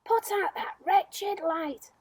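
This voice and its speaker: A woman voicing a bossy, impatient male centipede in a children's storybook, with loud, emphatic demands. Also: extremely high pitch, slow, no echo, pitched above the performer's own voice, exaggerated voice. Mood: angry shouting.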